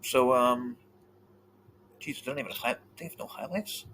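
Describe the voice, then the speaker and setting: A man co-hosting a casual podcast chat, animated and swearing freely, often trailing off mid-thought. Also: medium pitch, moderate pace, slight background noise. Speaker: podcast co-host.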